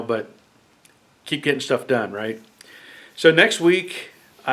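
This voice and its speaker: A man in his fifties with a head cold, casually walking through his car build in his garage. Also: mid-range voice. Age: 50s. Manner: plain-spoken, relaxed, with fillers. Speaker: garage channel host. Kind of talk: casual workshop walkthrough. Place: home garage.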